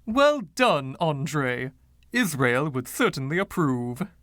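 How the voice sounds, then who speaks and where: A man's voice: a little high, very animated, slow pace, a bit bright, dry recording, clean studio sound, exaggerated voice. A man voicing a boastful, giggly 1920s dandy in a storybook reading, with a silky voice and theatrical, teasing old-fashioned slang. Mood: grand, playful.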